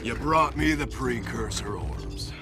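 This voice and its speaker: A man's voice: medium pitch, slow pace, close to an everyday voice. A man voicing a cowardly, self-pitying male village warrior in a video game, talking in a pompous, theatrical, whining way. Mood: melodramatic. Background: game music.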